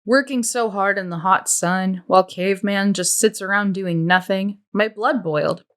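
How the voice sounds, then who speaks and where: A woman reader voicing a sullen, resentful teenage boy who grumbles in a drawn-out way. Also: medium pitch, natural voice.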